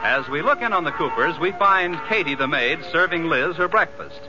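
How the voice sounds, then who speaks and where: Male 1940s radio announcer: lively intonation, scripted narration, music behind, muffled old broadcast. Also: fairly high pitch, normal speed.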